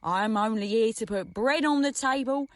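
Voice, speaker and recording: A woman's voice: a little high, normal speed, dry recording, studio quality, close to an everyday voice. A woman playing a weary, chatty station master and doting parent in a comic radio play. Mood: defensive, fond.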